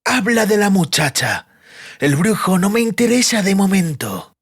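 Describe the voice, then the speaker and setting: A man voicing a sadistic interrogator in an audiobook, coldly menacing and mocking, with a deliberate, sneering, threatening delivery. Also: sits high, big pitch swings, husky, heavily acted. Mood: sneering impatience.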